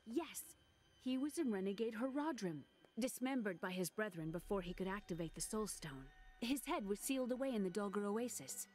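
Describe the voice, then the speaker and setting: A woman's voice: medium pitch, lively intonation, moderate pace, a little mellow, lightly acted. A woman voicing a determined, trusting young scholar in a fantasy video game, speaking earnestly. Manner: earnest, clear-spoken.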